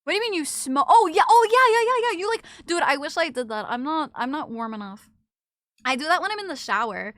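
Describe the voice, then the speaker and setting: A woman's voice: sits high, animated, fairly quick, fairly bright tone, no echo, studio quality. A young woman streaming, chatting casually with viewers, teasing and swearing freely. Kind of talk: casual live-stream chat reading viewer comments.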